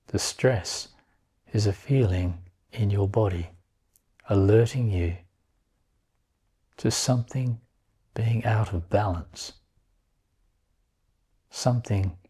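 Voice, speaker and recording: A man guiding a meditation in a calm, unhurried manner, leaving long pauses between short phrases. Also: fairly low pitch, slightly rough. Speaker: meditation guide. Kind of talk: guided meditation.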